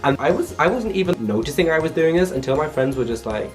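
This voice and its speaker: A man's voice: fairly high pitch, expressive, fast talker, soft background music. A young man from England talking casually to camera in a vlog, chatty and full of "like" fillers. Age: young adult. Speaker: vlogger.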